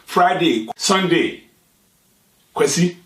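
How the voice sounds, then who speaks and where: A man's voice: on the high side, lively intonation, fairly bright tone, recorded from a distance. Ghanaian man in an echoey room. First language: Twi. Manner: unhurried, explanatory, with pauses and restarts. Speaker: show host. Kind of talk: satirical talk.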